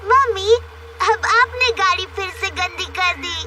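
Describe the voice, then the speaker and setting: A voice actor playing a playful, cheeky little girl piglet with a squeaky childlike voice in a children's cartoon dub. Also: a little slow. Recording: distant-sounding, loud music and effects behind.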